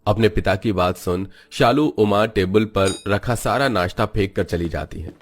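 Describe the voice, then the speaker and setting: A man narrating a scripted moral story in a steady storytelling manner. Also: fairly low pitch, moderate pace, faint music behind.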